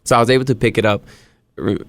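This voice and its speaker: Young guy from hawaii hosting a chill podcast, lowish smooth voice, chatty, laughs a lot, dry close mic. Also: steady tone, quick pace, dry recording. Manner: easygoing, chatty storyteller who laughs often. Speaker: podcast host. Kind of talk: casual interview chat.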